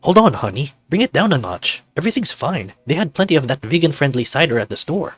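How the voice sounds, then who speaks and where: A man's voice: a little high, big pitch swings, fast, a bit bright, breathy edge, no echo, soft background music, voice pushed up, full character voice. A man voicing an easygoing husband in a visual novel, soothing and casually upbeat as he reassures his partner. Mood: soothing, jokey.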